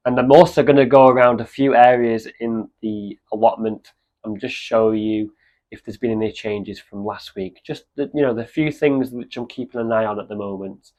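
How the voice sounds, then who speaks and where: A man with a cold and a slightly croaky voice, chatting casually in a gardening vlog. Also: mid-range voice, moderate pace, poor audio. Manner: chatty, relaxed, with many fillers. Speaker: allotment vlogger. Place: outdoors at an allotment.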